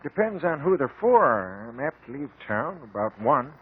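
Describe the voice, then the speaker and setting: A man's voice: mid-range voice, very animated, moderate pace, a little hiss or hum, natural voice. An actor playing a plain-spoken rural delivery man in a 1950s radio drama, folksy and terse with rustic grammar. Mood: laconic, matter-of-fact. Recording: thin lo-fi 1950 radio broadcast.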